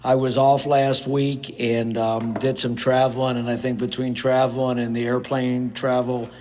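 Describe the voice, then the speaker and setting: Middle-aged male podcast host, mid-range pitch, casual and chatty, a touch hoarse and nasal from a cold. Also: flat delivery, moderate pace, a little mellow, a little hiss or hum. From United States. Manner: conversational, with frequent fillers. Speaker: podcast host, real estate agent. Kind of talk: casual solo podcast talk.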